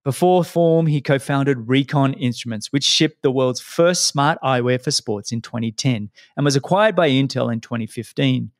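Host voice: a mid-pitched man, friendly and casual, tripping over repeated words, dry recording. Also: dry recording. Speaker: podcast host. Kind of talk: casual interview chat.

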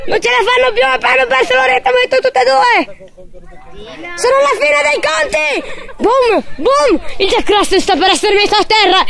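A woman giving excited, loud mock sports commentary, repeating phrases over and over. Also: extremely high pitch, moderate pace, creaky, crowd noise. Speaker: amateur commentator filming dogs at play.

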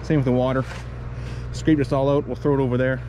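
A young man talks in a plain, matter-of-fact way as he walks through his farm chores, his voice neither high nor low. He's recorded outdoors on an action camera, and clear background noise from the yard and machinery sits under his words. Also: flat delivery, fast. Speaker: dairy farmer vlogger. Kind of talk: casual narration while doing chores. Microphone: action camera, sometimes held at arm's length.

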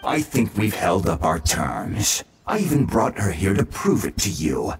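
An actor playing a menacing, gloating samurai bandit boss in a video game, taunting and blustering. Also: male voice, low voice, very animated, measured pace, soft background music, full character voice. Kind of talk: video game character acting.

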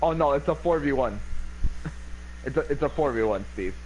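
A young man in a casual gaming voice chat, excitable and quick to laugh. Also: mid-range voice, slight background noise, poor audio. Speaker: gamer.

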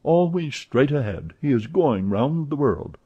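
A man doing a lively, talkative young French valet, eager and quick to exclaim, his voice pitched well above a mellow husky base. The sound is a little dull, like a lo-fi audiobook. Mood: excited. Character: excitable chatty French manservant, young man.

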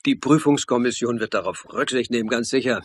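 Husky, calm police investigator played by a man. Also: medium pitch, brisk, no echo, close to an everyday voice. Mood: reassuring. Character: calm, wry police academy lecturer and investigator. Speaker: actor, narrator and lead. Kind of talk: radio crime drama acting and first-person narration.

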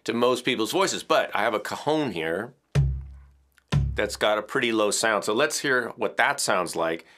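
A man in his fifties with a bass-baritone voice, casually explaining audio equipment in a home studio. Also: normal speed, music in the background. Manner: relaxed, chatty explaining with gestures and small laughs. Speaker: music educator. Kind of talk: hands-on audio tutorial. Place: home music studio, indoors. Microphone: headphones on, studio mic off camera.

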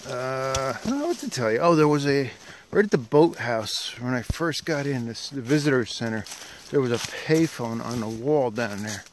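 Middle aged guy chatting to his phone on a hike, casual, normal pitch, some noise outside. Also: expressive, normal speed, hardly any pauses. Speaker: hiker vlogger. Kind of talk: casual trail vlog narration. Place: outdoors on a wooded trail and dam. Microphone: handheld phone at arm's length. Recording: clear outdoor background noise.

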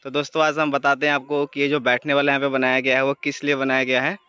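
A man in his thirties talks straight to his viewers in an easy, chatty way while he walks through open fields at dusk. The phone is held at arm's length and picks up the outdoor air around him. His voice sits at a middling pitch. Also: level tone, fast talker, pushed and bright, clear, resonant tone, muffled recording. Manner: chatty, addresses viewers directly. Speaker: vlogger. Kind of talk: casual travel vlog narration.

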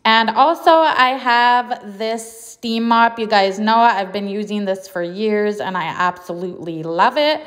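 A woman's voice: medium pitch, measured pace, hardly any pauses, fairly bright tone. A woman with a mid-range voice narrates in a casual voice-over style. She talks in a chatty, informal way, as a home vlogger speaking to regular viewers.

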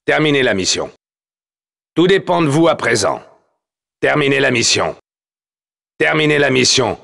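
An actor playing a tough soldier who announces a military game in a terse, commanding way. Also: male voice, mid-range voice, big pitch swings, normal speed, vocal fry, fairly bright tone, heavily acted. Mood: stern. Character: tough male soldier calling out battle orders.

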